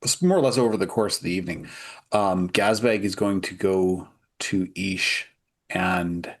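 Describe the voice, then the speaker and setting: A man's voice: low-pitched, unhurried. A man playing in a tabletop game, easygoing casual chat with fillers. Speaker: player.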